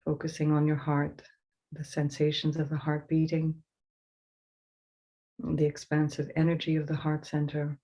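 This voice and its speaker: Woman guiding meditation in an even tone. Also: sits low. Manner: slow, soothing instruction with pauses. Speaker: meditation teacher. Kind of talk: guided meditation.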